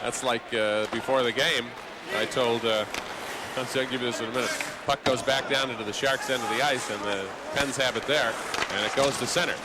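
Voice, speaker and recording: A man calling live hockey play-by-play, dropping conversational asides in between the calls. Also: on the high side. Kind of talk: live sports commentary. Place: broadcast position in an indoor arena. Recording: loud arena noise behind. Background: ice hockey arena.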